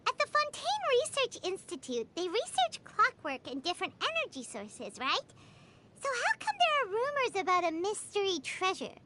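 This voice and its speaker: A woman voicing a small, excitable, naive childlike girl companion in a video game, squeaky and theatrical in her delivery. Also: moderate pace, a little hiss or hum, heavily acted. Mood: curious, musing. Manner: refers to herself in third person, exclaims theatrically. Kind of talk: video game character voice acting. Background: background music.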